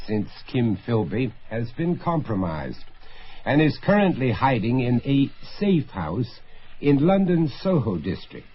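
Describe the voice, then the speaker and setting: A male radio drama narrator with a rough-edged, husky voice. He reads with lively, dramatic rises and falls. The broadcast sounds muffled, with light music underneath. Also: medium pitch.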